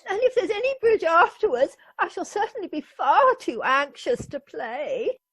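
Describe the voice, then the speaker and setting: Actress playing fretful society lady, very high pitch, wildly swooping, fussy, radio play. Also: very animated, normal speed. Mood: regretful, fretful. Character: fussy, anxious upper-class English lady guest.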